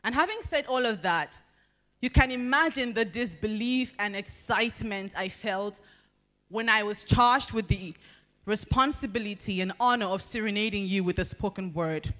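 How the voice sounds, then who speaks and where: A young Nigerian woman with a Nigerian accent performing spoken word poetry at a podium, with measured, expressive pacing and deliberate pauses. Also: medium pitch. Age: young adult. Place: large banquet hall at a podium. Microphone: handheld microphone.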